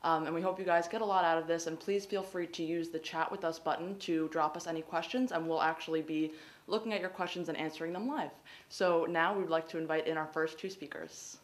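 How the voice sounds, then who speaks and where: A woman's voice: low voice, steady tone, brisk. A young American woman hosting a student livestream, upbeat and welcoming. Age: young adult. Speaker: host.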